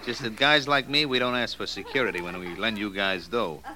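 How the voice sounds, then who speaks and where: A man's voice: on the low side, brisk, bright, forceful tone. An actor playing a coolly menacing male loan shark in a 1940s radio crime drama, talking tough street slang. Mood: calm menace.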